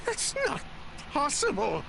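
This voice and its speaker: A distraught male merchant in a fantasy game, pleading and stammering over his brother. His voice is high and creaky and he speaks slowly and brokenly. The audio is noisy. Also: huge pitch swings, exaggerated voice. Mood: shocked, pleading. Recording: noisy game stream capture.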